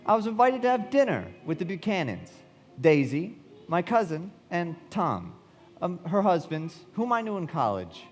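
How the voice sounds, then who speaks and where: Casting: reflective young bond man narrating a radio play, lively higher voice, reverberant hall. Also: normal speed, soft background music. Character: reflective well-bred young bond salesman narrating his memories. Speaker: lead actor and narrator. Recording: echoey hall, live stage.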